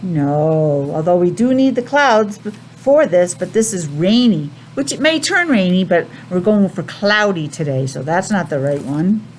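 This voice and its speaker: An American woman teaching young children a simple lesson in a sing-song, questioning way with pauses. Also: fairly low pitch, animated, a little hiss or hum, low-quality recording. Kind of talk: children's calendar and weather lesson.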